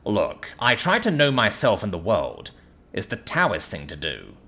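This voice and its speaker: Man reading earnest introverted ex-air force guy, chatty, self-doubting, lively pitch. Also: a little slow, vocal fry, heavily acted. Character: introverted male former Air Force officer.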